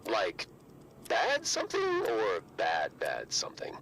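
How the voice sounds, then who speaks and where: A wary, dry video game agent with a low voice and slow delivery. A loud din of game sound surrounds him. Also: male voice, wildly swooping pitch, over a noisy crowd, heavily acted.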